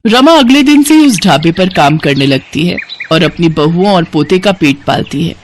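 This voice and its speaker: A man's voice: fairly high pitch, animated, moderate pace, a little hiss or hum. A man narrating a scripted moral story for a cartoon.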